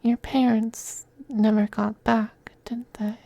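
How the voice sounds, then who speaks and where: A man playing a possessive, teasing femboy vampire in an intimate ASMR roleplay, speaking softly and breathily, often in a whisper, with coaxing, condescending affection. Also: high-pitched, little pitch movement, slow, creaky, dry recording, exaggerated voice. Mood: menacing, wistful. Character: possessive, teasing, doting femboy vampire master styled as mistress.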